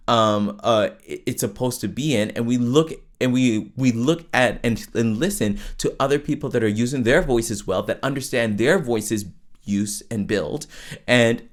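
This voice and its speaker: A man with a lower voice, hosting a podcast and explaining singing to listeners in a loose, chatty way, full of restarts and tag questions. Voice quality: lower voice with falsetto access. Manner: conversational, many fillers and restarts, frequent "right?". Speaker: podcast host and vocal coach. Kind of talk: casual teaching monologue.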